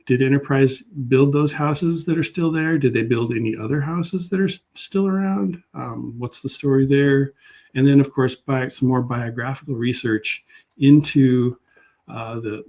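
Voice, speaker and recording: A man's voice: medium pitch, a little mellow. A man speaking informally as a guest historian, hesitant and often rephrasing as he searches for words. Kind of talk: informal talk and question answering.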